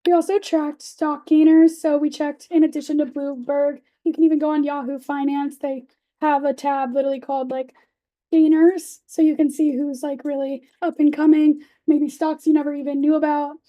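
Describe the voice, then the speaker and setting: A young woman teaching assistant who is losing her voice gives tips to a class in a lecture room. Her voice is high and steady in pitch, with a very bright edge. She keeps things casual and informal, dropping in "kind of" and "you know.". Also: moderate pace. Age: young adult. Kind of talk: classroom presentation.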